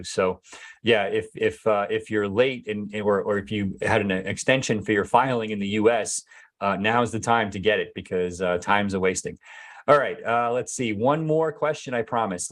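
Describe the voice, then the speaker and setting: A man, a company executive, answering viewer questions in a casual live Q&A, hesitant with many fillers and restarts, his voice going hoarse. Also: fairly low pitch. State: losing his voice.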